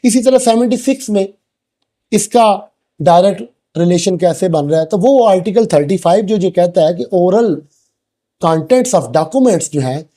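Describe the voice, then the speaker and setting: A man's voice: high voice, animated, a little slow, dark tone. A man with a Pakistani accent lecturing didactically, explaining point by point and mixing in English legal terms. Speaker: law lecturer.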